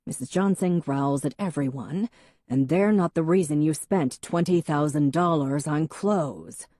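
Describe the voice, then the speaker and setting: A woman's voice: deep voice, mellow, dry recording, clean studio sound. Woman voicing a firm, earnest husband. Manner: firm, measured, reasoning. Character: patient husband, earnest, troubled, reasonable.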